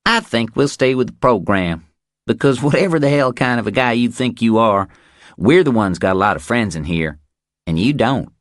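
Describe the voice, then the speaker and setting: A man voicing a cocky, excitable young Texas ranch hand in an audiobook, taunting in folksy slang. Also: mid-range voice, moderate pace, no echo, clean studio sound, pitched above the performer's own voice, lightly acted. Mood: defiant.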